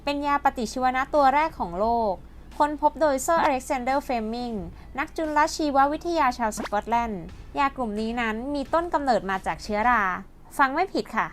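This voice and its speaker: A woman's voice: sits high, animated, a little fast, a little hiss or hum. A woman hosting and narrating a scripted educational piece, explaining politely to her viewers. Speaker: host and narrator.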